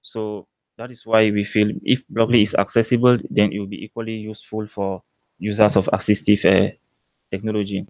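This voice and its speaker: A young man with a Cameroonian accent giving a technical conference presentation in a measured, explanatory way. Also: fairly low pitch, flat delivery, darkish tone, dry recording. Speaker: PhD student presenting research. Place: indoor presentation room.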